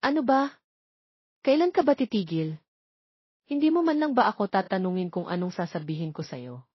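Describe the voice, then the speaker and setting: A woman voicing an expressive, girlish young romance heroine in an audio drama. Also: medium pitch, moderate pace, husky, no echo, highs cut off. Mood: annoyed.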